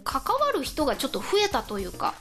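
High, lively, giggly woman radio host. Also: fast, soft background music. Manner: giggly, often laughing while talking. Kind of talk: casual co-hosted radio chat.

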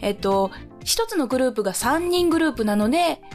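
A woman co-hosts a radio show in a fairly high voice. She chats casually and passes on announcements in a conversational way, with music underneath. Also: expressive, quick pace, a little mellow.

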